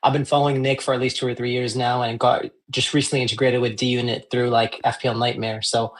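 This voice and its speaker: A man's voice: medium pitch, flat delivery, quick pace. A man appearing as a podcast guest, friendly and a little hesitant. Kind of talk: casual podcast chat.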